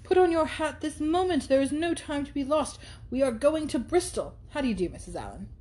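A woman's voice: high-pitched, lively intonation, brisk, warm tone, pitched above the performer's own voice. A woman reading aloud and voicing a brash, boastful young man who talks over everyone. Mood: blustering. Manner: blustering, insistent.

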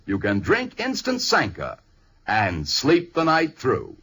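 A man with an American accent and husky voice, reciting a rhymed vintage radio advertisement in theatrical sing-song verse. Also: mid-range voice, huge pitch swings, slow, over music. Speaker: commercial announcer.

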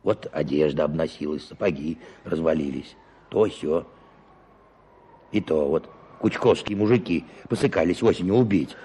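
Very deep, gravelly old peasant. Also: male voice, moderate pace, mellow, exaggerated voice. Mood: aggrieved, resigned. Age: older. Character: weary old peasant forest watchman, lifelong bachelor, humble. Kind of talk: radio drama acting. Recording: clearly noisy radio play recording.

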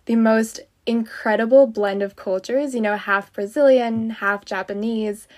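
A woman's voice: a little high, fairly even intonation, unhurried, darkish tone. A woman hosting an interview podcast, speaking in an enthusiastic, effusive and chatty way. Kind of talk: warm, chatty interview with scripted intro.

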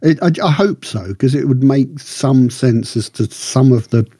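Husky, mellow man rambling casually. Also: medium pitch, no room sound, studio quality. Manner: rambling, halting, circles back. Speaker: podcast co-host. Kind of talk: casual discussion of TV shows.

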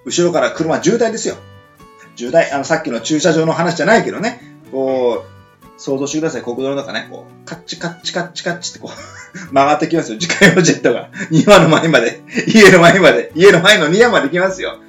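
Man with animated, swinging intonation, Japanese radio host. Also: fairly high pitch, a little fast, soft background music. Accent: western Japanese. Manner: joking, frequent backchannel responses. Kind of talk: casual radio chat. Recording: roomy, slightly muffled recording.

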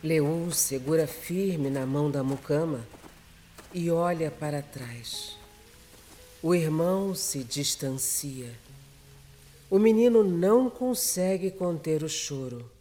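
A woman's voice: low voice, slow, dark tone. A woman with a Brazilian accent reading scripted, dramatic narration for a radio serial.

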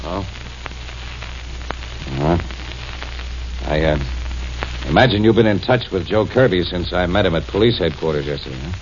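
An actor playing a brash, quick-tempered crime photographer in a 1940s radio drama. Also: male voice, deep voice, close to an everyday voice. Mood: earnest. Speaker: news photographer. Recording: dull old radio broadcast with faint hiss.

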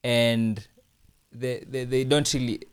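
A man's voice: medium pitch, steady tone, slow pace, clear, resonant tone. A man co-hosting a casual podcast chat, from Kenya, steering the talk with questions. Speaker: podcast co-host.

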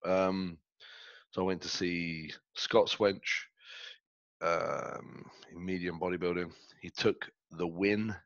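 A stuffy-nosed man chatting loosely on his own podcast, with a very low voice. He talks in slang and rambles along. He speaks into a headset that sounds dull and very dry, with no room around him. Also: slow pace, vocal fry, fairly bright tone, dry recording. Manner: laid-back, slangy, rambling. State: stuffy, under the weather. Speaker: podcast co-host. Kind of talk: casual chat. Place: reclined in a chair at home.

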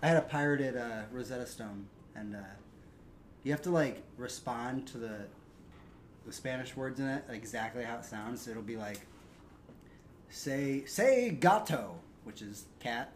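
A man's voice: mid-range voice, lively intonation, a little slow, a little hiss or hum. A man hosting a comedy podcast, rambling through crude jokes with lots of fillers. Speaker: podcast host. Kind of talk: casual comedic chat.

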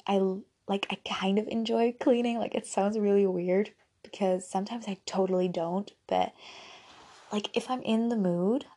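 A woman's voice: mid-range voice, a little mellow, slight background noise. A young woman who grew up speaking Dutch, chatting casually and rambling on her own podcast. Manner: chatty, rambling, giggly asides. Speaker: podcast host. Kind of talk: casual solo podcast chat.

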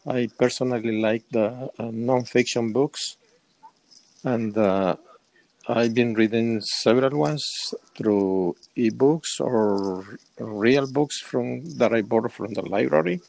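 Slow-paced older Salvadoran man. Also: mid-range voice, gravelly, a little mellow, rough recording. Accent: Salvadoran Spanish. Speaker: interview guest, semi-retired. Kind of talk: casual interview answers.

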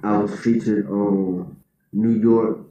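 A man giving clues in a casual guessing game with friends, halting and full of fillers and restarts. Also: on the low side, level tone, dark tone, roomy sound, slight background noise, rough recording.

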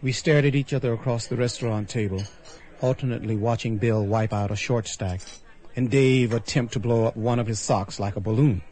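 A man's voice: medium pitch, a little fast, a little mellow, close to an everyday voice. An actor playing a wry, skeptical artist who recounts his story in colloquial first-person radio drama narration. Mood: intrigued.

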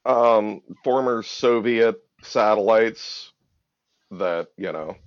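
A man's voice: lowish voice, slow pace, no room sound. A man from the United States talking casually in a crude, profane, laid-back way. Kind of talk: casual chat. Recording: dull, dry lo-fi recording.

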